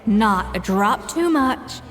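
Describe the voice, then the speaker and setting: A woman's voice: mid-range voice, lively intonation, slow pace, big-room sound, faint music behind. A woman playing a proud, precise young master cook in a video game, instructing in an exacting, haughty way. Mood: stern, careful.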